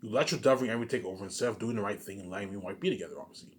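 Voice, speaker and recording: Fast-talking man in an emphatic, rambling monologue. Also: lowish voice. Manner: repetitive, circling back over phrases, often tacking on "obviously"; emphatic, swearing. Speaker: vlogger.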